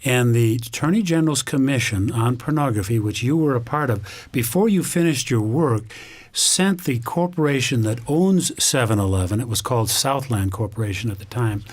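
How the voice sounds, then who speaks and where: A man's voice: medium pitch, lively intonation, moderate pace, dry recording. A man speaking as a guest on a radio talk show, explaining things earnestly. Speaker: interview guest. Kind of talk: conversational radio interview.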